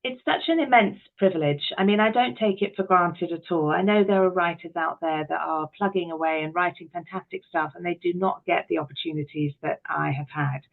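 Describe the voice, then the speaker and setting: A middle-aged woman speaks as a guest in a relaxed interview, telling things frankly and with emphasis. Her voice has a noticeable creak. The sound is dulled and band-limited, like call audio. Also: fairly low pitch, fairly quick, few pauses, narrow, tinny audio. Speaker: interview guest, actress, writer and director. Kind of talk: candid conversational interview.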